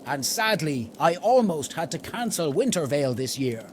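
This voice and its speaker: A man telling a holiday story in character, in a theatrical, deliberate way. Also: fairly high pitch, sing-song, slight background noise. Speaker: storyteller in a roleplay story event.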